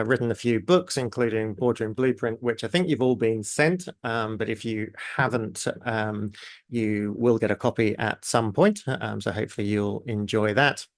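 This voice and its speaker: A man's voice: medium pitch, dry recording, clean studio sound. Chatty man with a mixed Kiwi-British accent. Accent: mixed New Zealand and British. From New Zealand. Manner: chatty, filler-heavy, addresses audience in chat. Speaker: webinar presenter. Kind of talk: live online masterclass.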